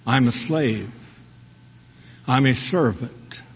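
Man, pastor, sermon, slightly husky, long church reverb. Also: mid-range voice, slow. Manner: call-and-response preaching, rhetorical questions. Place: church sanctuary.

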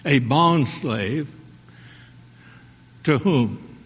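A man preaching a sermon as pastor, asking the congregation rhetorical questions and pausing for their response. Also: medium pitch, expressive, husky. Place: church sanctuary. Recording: long hall reverb.

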